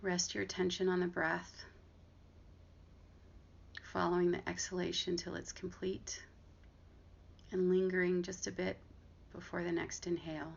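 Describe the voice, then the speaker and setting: A woman guiding a body scan meditation, giving gentle, unhurried instructions with long pauses between phrases. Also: lowish voice, little pitch movement. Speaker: meditation guide and therapist. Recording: dull lo-fi audio with clear background noise.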